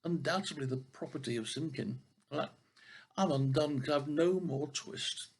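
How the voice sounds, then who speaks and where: An older man voicing a poor old tailor in a storybook, anxious and mournful, muttering and lamenting in drawn-out words. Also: on the high side, vocal fry, slightly rough, voice pushed up. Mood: fretful lamenting.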